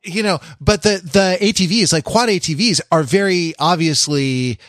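A man's voice: sits high, moderate pace, a bit bright, dry recording, studio quality. A man co-hosting a casual podcast, wry and mock-erudite, circling his point with hesitations and restarts. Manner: hesitant, digressive, many restarts. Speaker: podcast co-host.

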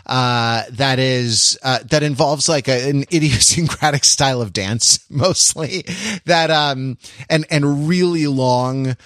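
A man's voice: medium pitch, takes it slowly, hardly any pauses, a bit bright, dry recording. Man talking casually, close and dry. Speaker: podcast host. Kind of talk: casual pop-culture discussion.

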